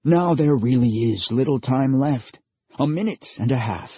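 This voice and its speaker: A man voicing a mysterious old stranger who speaks in a grave, measured, gentle way. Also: mid-range voice, husky, dry recording. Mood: calm. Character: mysterious ancient male stranger, calm, sorrowful. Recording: dull lo-fi audio.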